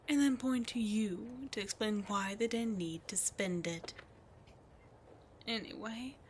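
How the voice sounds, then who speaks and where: A woman's voice: medium pitch, natural voice. A woman voicing a scheming demon queen in an ASMR roleplay, sly and mocking, often slipping into whispers, with faint noise behind. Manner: sly, taunting, drifting into whispers. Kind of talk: ASMR roleplay audio drama.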